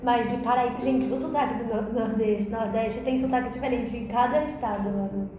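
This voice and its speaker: A woman's voice: fairly high pitch, moderate pace. Woman with a Bahian accent, echoey. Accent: Northeastern Brazilian, Bahia. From Northeast Brazil. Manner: lively, says "tipo" often. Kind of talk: casual chat. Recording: very echoey room with music.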